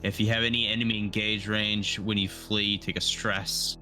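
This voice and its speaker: A man's voice: lowish voice, level tone, normal speed, sharp tone. A man talking casually as a player in a tabletop roleplaying game. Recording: music playing behind the voice. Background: background music.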